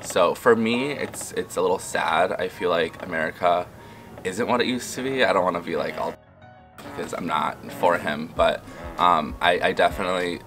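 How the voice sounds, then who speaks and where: A man's voice: low voice, moderate pace, a bit bright, soft background music. A man from Chile answering a street interview in a reflective, slightly rueful way. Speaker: passerby interviewee. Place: outdoors on a city street.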